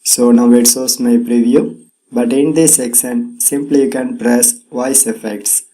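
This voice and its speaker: Mellow-voiced man narrating a tech tutorial. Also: mid-range voice, steady tone, a little slow, poor audio. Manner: non-native English phrasing.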